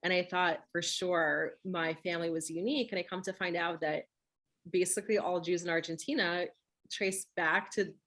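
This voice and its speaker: A woman's voice: fairly low pitch, fairly even intonation, normal speed, a bit bright. A woman whose first language is Russian, chatting casually in a webinar over a video call and tagging her points with "right?" and "you know". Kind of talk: webinar conversation.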